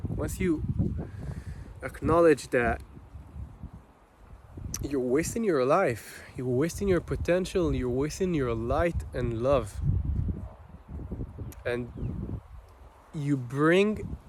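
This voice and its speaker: Male vlogger, Israeli accent, Hebrew native; unhurried, halting, reflective delivery with long gaps, speaking off the cuff over noticeable background noise. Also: fairly high pitch. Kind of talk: unscripted personal monologue.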